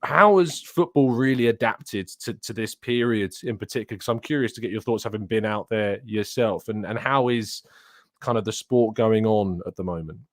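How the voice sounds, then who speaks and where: A man's voice: fairly low pitch, moderate pace, no room sound, studio quality. A man hosting a football show, speaking in a chatty, conversational presenting style to his viewers and guest.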